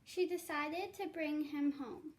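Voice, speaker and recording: A young girl reads a storybook aloud in a high voice. She goes slowly and carefully, sometimes halting over the words. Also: steady tone, a little hiss or hum. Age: child. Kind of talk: children's storybook read-aloud.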